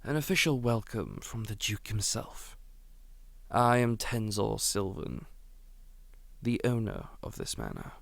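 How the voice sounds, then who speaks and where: A man playing a menacing aristocratic Duke in an ASMR audio drama, courteous and quietly threatening, often whispering. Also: fairly low pitch, very animated, unhurried, vocal fry, dry recording, full character voice. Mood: courtly. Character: menacing aristocratic male Duke, manor lord.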